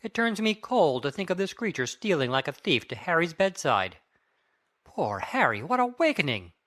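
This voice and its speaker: A man's voice: a little high, sing-song, normal speed, a bit bright. Man playing a sombre, musing lawyer, swooping intonation. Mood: brooding worry. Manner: grave, musing, theatrical. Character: sombre, anxious, brooding middle-aged Victorian gentleman lawyer. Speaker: audiobook cast reader. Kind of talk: dramatic reading of a Victorian novel.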